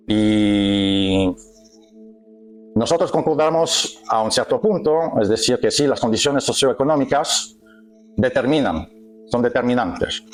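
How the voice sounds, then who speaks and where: A man lecturing a group in a language that is not his first, stopping often to search for words and correct himself. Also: mid-range voice. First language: not Spanish. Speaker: workshop speaker. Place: meeting hall with an audience.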